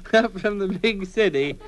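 A man voicing a fussy, halting elderly mother who is prone to giggles in an old-time radio soap parody. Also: sits high, background noise, pitched above the performer's own voice. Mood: fussy, amused.

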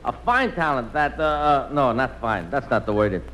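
Guy doing fed-up blunt critic, halting, voice all over the place, noisy old radio comedy. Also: mid-range voice, lively intonation, close to an everyday voice. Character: exasperated, blunt male critic, fumbling for polite words.

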